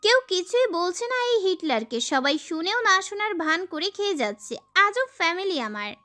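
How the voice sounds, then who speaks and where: A woman playing a sweet, pert young daughter in an audio love story, with a girlish, expressive delivery. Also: extremely high pitch, normal speed, heavily acted. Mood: exasperated. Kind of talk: amateur audio story acting. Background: background music.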